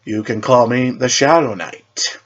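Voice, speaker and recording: A man's voice: medium pitch, measured pace, close to an everyday voice. Man playing a courteous masked shadow hero, lo-fi. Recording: dull lo-fi recording.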